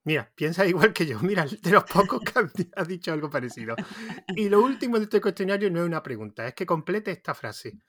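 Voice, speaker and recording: A man's voice: high-pitched, big pitch swings, brisk, a bit bright, no room sound. A man with an Andalusian Spanish accent hosting a podcast interview, chatty and rambling, often restarting his sentences. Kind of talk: casual conversational interview.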